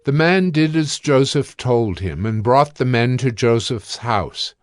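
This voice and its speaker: A man narrating a dramatized Bible reading in a steady, measured way. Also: medium pitch, no room sound, studio quality.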